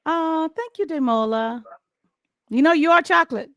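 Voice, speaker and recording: High-pitched playful female host, fry-heavy, dry room. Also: moderate pace, fairly bright tone, no room sound. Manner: bubbly, giggly, playful. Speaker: talk show host. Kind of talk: casual chat.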